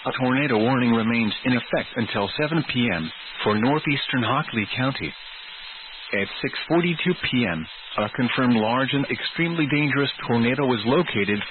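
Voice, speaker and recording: A tinny, noisy radio signal carries a man's mid-pitched voice. He reads emergency weather bulletins as a scripted announcement, formal and clipped, with a synthetic feel. The sound is narrow like a phone line, with obvious static. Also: lively intonation, normal speed. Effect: weather radio broadcast.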